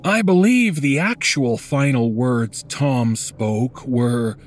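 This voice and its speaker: A man's voice: mid-range voice, slow, a little hiss or hum, natural voice. A man playing a callous, smug killer who narrates a horror audio story in a conversational, sardonic, crude way. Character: callous, smug male killer confiding in the listener.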